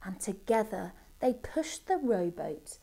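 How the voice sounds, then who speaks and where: A middle-aged woman reading a children's storybook aloud expressively, with deliberate dramatic pauses. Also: mid-range voice, big pitch swings, vocal fry, mellow, breathy edge. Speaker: storybook reader. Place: indoor room by a Christmas tree. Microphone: camera a short distance away.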